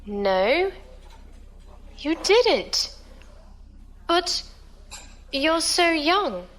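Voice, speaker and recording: A woman's voice: extremely high pitch, big pitch swings, drawn-out words, a bit bright, heavily acted. An actress playing an earnest, tender-hearted female passenger among space pirates in a science fiction audio drama. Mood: worried.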